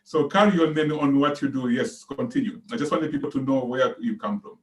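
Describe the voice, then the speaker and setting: A man of ordinary middle pitch hosts an online seminar over a video call. He greets and prompts his guest with eager praise, pausing often on fillers. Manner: enthusiastic, hesitant with fillers. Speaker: seminar host. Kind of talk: online interview.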